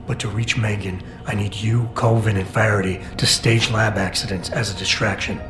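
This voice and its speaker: Stoic low-voiced man acting as a game agent. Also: fairly even intonation, normal speed, natural voice. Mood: calm, determined. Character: stoic, gruff male agent, terse and controlled. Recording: roomy reverb, music underneath.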